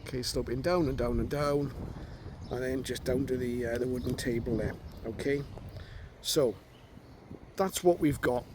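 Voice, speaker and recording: A man giving an informal, unscripted hands-on demonstration as a radio hobbyist, explaining step by step in a halting way, recorded on a phone. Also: mid-range voice, noisy background.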